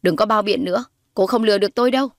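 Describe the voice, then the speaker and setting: A woman's voice: medium pitch, racing, no echo, studio quality, close to an everyday voice. A woman voicing a haughty, scornful middle-aged mother who sneers and accuses in a dramatized audio story. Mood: angry, accusing.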